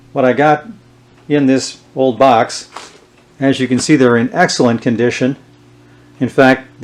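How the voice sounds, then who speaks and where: A man presenting an unscripted show-and-tell demonstration in a halting, unhurried way. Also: medium pitch, a little mellow. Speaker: presenter showing vintage radio gear.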